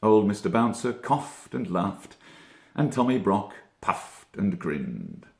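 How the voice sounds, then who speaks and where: A man reading a children's story as an actor would, with theatrical, expressive and deliberately dramatic phrasing. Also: lowish voice, echoey room.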